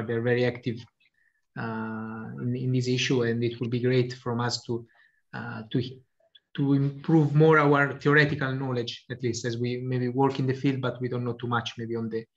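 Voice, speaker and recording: A man's voice: mid-range voice, level tone, normal speed. Hesitant man moderating a call. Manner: hesitant, polite, frequent fillers. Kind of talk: video call introductions. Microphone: video call. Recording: dull lo-fi call audio.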